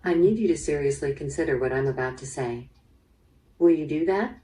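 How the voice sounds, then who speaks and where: Woman acting low-voiced, probing female police detective. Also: mellow, lightly acted. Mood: probing, measured. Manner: measured, probing, businesslike. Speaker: voice actor in interactive audio drama. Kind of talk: interactive radio-drama acting. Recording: played through speaker, slightly distant.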